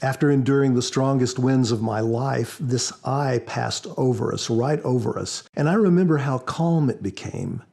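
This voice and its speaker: Gravelly, husky man with a mellow tone. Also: medium pitch, moderate pace, few pauses. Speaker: author and podcast guest. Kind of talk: measured explanatory talk with scripture readings.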